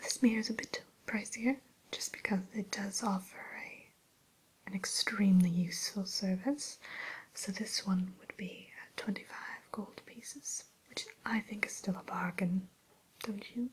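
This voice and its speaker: A woman's voice: medium pitch, steady tone, unhurried, low-quality recording. A woman playing a refined, sly evil queen shopkeeper in a whispered ASMR roleplay, with coy, hesitant, genteel sales talk. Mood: selling, confiding. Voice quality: breathy, soft whisper. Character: refined, sly evil queen running an enchanted mirror shop.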